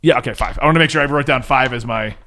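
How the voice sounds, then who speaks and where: A man's voice: medium pitch, rapid-fire, pushed and bright. A man casually narrating a tabletop game as game master, improvising in an informal, off-the-cuff way.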